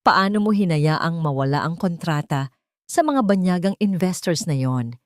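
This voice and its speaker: A woman reads a domineering old matriarch in a storybook drama, cutting and scornful. The voice is fairly low. It sounds close and dry. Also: lively intonation, normal speed, dry recording, lightly acted. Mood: scolding. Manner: cutting, scolding.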